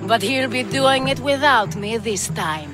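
A woman's voice: on the high side, expressive, a little slow. A woman voicing a worldly, flirtatious courtesan who speaks in a dry, teasing way, with game music behind.

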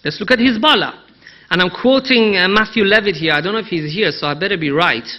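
A man's voice: high voice, brisk, bright, forceful tone, low-quality recording. A man with a Persian accent gives an analytical conference talk, emphatic, posing rhetorical questions and repeating key phrases. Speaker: conference speaker, Iran analyst. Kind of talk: conference presentation, persuasive analysis.

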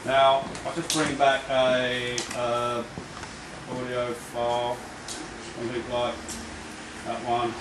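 A man's voice: medium pitch, level tone, takes it slowly, long pauses. Australian male demonstrator, hesitant, off-the-cuff demo, echoey store. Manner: hesitant, full of ums and restarts. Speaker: product demonstrator. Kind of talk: casual live product demo. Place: crowded store at a product night. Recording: echoey shop with crowd noise.

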